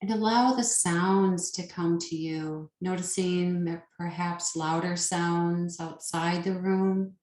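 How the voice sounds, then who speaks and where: A woman leading a guided meditation as a teacher, soothing and unhurried, pausing between short phrases. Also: fairly low pitch, fairly even intonation, a little mellow. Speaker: yoga nidra meditation teacher. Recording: roomy, echoing sound.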